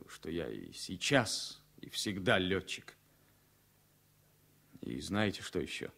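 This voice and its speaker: An actor playing a talkative, wry former military pilot in his thirties, speaking in a halting, informal way in a radio drama. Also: low voice, slightly rough, full character voice. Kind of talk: Soviet radio drama acting.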